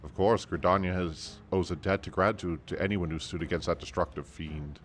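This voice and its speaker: A man's voice: low-pitched, steady tone, a little fast, faint music behind, close to an everyday voice. A man voicing a courteous, stately military commander in a fantasy video game. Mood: courteous, grave. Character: courteous, formal military commander of a forest city.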